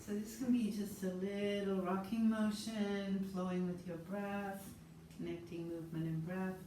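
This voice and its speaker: A woman instructor guiding a yoga class with slow, soothing, calm cues. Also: mid-range voice. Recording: roomy, distant mic with faint noise.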